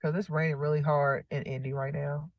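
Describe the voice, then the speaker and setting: A woman's voice: deep voice, little pitch movement, brisk, no room sound, highs cut off. A woman comedian, a guest joining by video call, chatting in a playful, self-promoting way. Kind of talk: casual chat on a video call.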